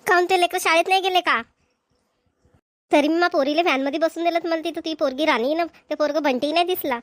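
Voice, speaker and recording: A nosy cartoon village woman, acted by a female performer, with a high voice. She is chatty, inquisitive and quick to advise, in a Vidarbha Marathi accent. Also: dry recording, clean studio sound. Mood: nosy, surprised. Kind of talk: amateur cartoon comedy dialogue.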